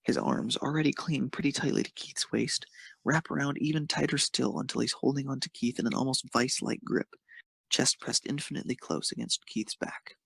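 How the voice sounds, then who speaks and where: A man's voice: medium pitch, steady tone, brisk, creaky, fairly bright tone, slightly rough, no echo. A man narrating a piece of fan fiction, reading it aloud.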